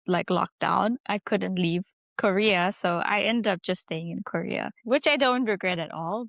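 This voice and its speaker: A woman's voice: on the low side, gravelly, a bit bright, no room sound. Chatty South African woman. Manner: chatty, laughs easily, many "like" fillers. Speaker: guest, English teacher. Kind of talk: casual interview storytelling.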